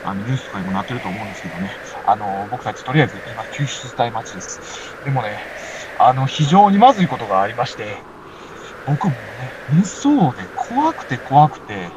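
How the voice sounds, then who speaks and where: A man's voice: mid-range voice, very animated, a little fast, muffled recording, noisy background, voice pushed down, lightly acted. A man with a Kansai accent playing a sleepy, whining podcaster stranded on a snowy mountain in an amateur comedy radio drama. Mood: weary, rambling.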